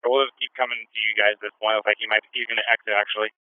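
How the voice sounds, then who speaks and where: A man's voice: on the low side, little pitch movement, rapid-fire, clean tone, no room sound, phone-quality sound. A man, a state trooper in a patrol car, giving short call-outs over a police radio heard on a scanner.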